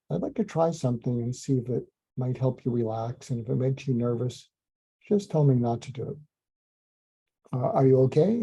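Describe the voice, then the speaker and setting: A man, a psychiatrist, calmly recounting a case in a slow, soothing, almost hypnotic way, with frequent ums and restarts. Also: mid-range voice, vocal fry, slightly rough. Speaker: psychiatrist telling a clinical story. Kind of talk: calm storytelling monologue. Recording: dull lo-fi sound.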